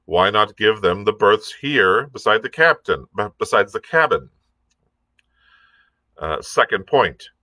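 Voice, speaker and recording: A man's voice: on the low side, expressive, unhurried, pushed and bright, dry recording, voice pushed up. A man reading a storybook, voicing a blunt, stern and prickly sea captain in an emphatic, clipped manner. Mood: insistent. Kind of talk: audiobook character reading.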